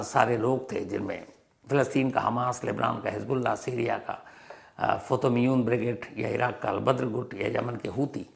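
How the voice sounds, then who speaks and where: A man hosting a storytelling explainer, speaking in a dramatic, emphatic way and repeating phrases for effect, with a gravelly voice. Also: mid-range voice. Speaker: crime and history show host.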